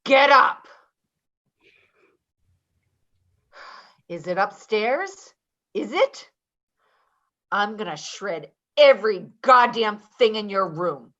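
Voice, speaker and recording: A woman's voice: medium pitch, big pitch swings, slow pace, a bit bright, highs cut off. A woman acting in a play reading as a volatile, foul-mouthed woman who makes confrontational, threatening demands. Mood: aggressive.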